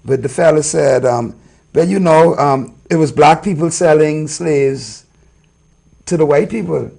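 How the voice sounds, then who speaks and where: A man's voice: a little high, measured pace, gravelly, a little mellow. A man hosting a talk show, speaking earnestly and murmuring agreement as his guest talks. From Bahamas. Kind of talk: casual interview conversation.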